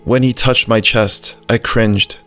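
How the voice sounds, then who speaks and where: A gentle, brave little dog played by a man tells his story with childlike simplicity. His voice sits at a middling pitch, with clear music underneath. It is a scripted visual novel narration, earnest and loyal in temper. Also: steady tone, takes it slowly. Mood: weary. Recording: music behind the voice.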